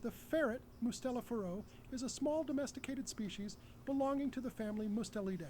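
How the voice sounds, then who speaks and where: Synthetic female reader, raised pitch, scripted factual delivery, lightly noisy recording. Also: brisk, warm tone. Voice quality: high-pitched synthetic clone. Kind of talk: scripted encyclopedic narration.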